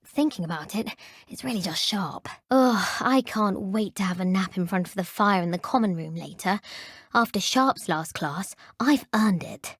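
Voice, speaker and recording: A woman's voice: medium pitch, slightly rough, dry recording, clean studio sound. A woman voicing a candid, opinionated student witch in a video game, musing frankly and a little self-consciously. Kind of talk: video game character dialogue.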